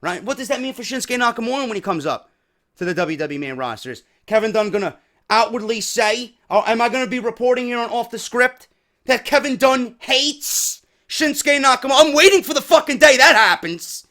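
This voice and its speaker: A man hosting a wrestling news show, giving blunt, profane opinions and getting loud when worked up. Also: very high-pitched, animated, brisk. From New York.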